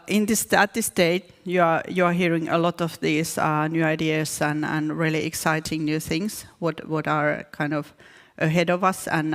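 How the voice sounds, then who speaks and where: Finnish woman with a flat, gravelly voice. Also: deep voice. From Finland. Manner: hesitant, with frequent restarts and fillers. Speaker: keynote speaker. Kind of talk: conference presentation. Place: conference hall. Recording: reverberant conference hall.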